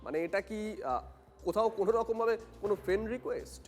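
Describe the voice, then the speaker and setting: A man's voice: high-pitched, quick pace, faint music behind. A man anchoring a live television news panel, putting questions to guests.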